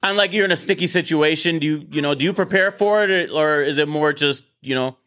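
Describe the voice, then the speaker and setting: A man's voice: on the high side, fairly quick, fairly bright tone. A man hosting a casual debate show in his office, talking bluntly, swearing and repeating words as he starts sentences. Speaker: podcast host.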